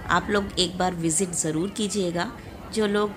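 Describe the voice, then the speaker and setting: A young woman walks and talks through a casual travel vlog, filming herself on a phone held at arm's length. She speaks straight to her viewers in a chatty, friendly way. The recording is open-air and noisy, with a steady wash of outdoor sound behind her. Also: on the low side, moderate pace. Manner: chatty, addresses viewers directly. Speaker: vlogger. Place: outdoors in a large mosque courtyard. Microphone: phone camera held at arm's length.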